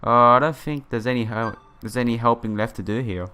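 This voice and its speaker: A man's voice: medium pitch, brisk, pushed and bright, smooth. Man reading a game aloud over music. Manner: stumbles over words and rereads phrases. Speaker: gaming YouTuber playing a visual novel. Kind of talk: casual let's play, reading game text aloud with side comments. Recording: music playing behind the voice.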